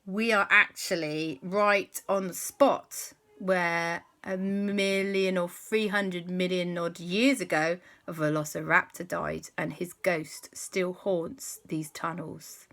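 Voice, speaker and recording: A woman's voice: fairly low pitch, lively intonation, a little slow, pushed and bright, full character voice. A woman doing a chatty giant talking frog. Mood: explaining.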